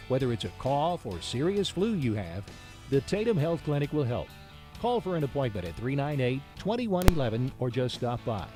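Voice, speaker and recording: Lively male ad read over guitar music. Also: medium pitch. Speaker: sponsor advert announcer. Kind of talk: scripted radio advertisement read. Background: plucked guitar music.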